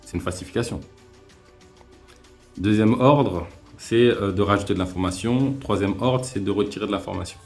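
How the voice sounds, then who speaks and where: A man hosting a calm live-stream debate, reasoning aloud in a hesitant way with many fillers and restarts. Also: fairly low pitch, normal speed, soft background music. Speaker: host. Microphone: remote live-stream call.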